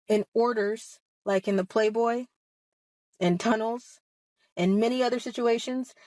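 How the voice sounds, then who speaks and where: A woman's voice: on the high side, a little mellow, no room sound, low-quality recording. A woman of about thirty giving impassioned personal testimony straight to her online audience, emphatic and confrontational, leaning on rhetorical questions. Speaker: online video host speaking about personal experience.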